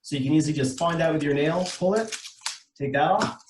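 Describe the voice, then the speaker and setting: A man's voice: a little high, a little fast, a little hiss or hum. A middle-aged man with a Canadian accent gives an informal, explanatory presentation as a wine importer, speaking conversationally with some hesitation over a video call. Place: indoor room, online video meeting. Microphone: video call microphone. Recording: echoey room on a video call.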